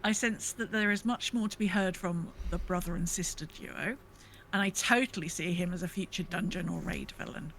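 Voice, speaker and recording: A woman's voice: lowish voice, normal speed, creaky, a bit bright, slight background noise, poor audio. A woman co-hosting a podcast, chatting casually and reading out listener comments with gushing enthusiasm. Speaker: podcast co-host.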